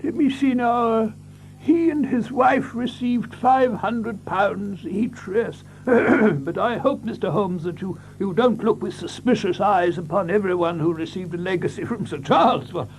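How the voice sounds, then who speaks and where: A fussy country doctor, nervous and halting, in an audio drama. His voice is high and creaky, with faint noise behind it. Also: poor audio. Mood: flustered. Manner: hesitant, flustered, halting.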